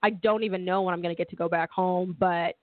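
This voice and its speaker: A woman's voice: fairly low pitch, fairly even intonation, fast talker, no room sound. A young woman, a reporter on a radio show, chatting casually and telling a rambling story with lots of laughter. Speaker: reporter, studio guest. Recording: tinny phone-like audio.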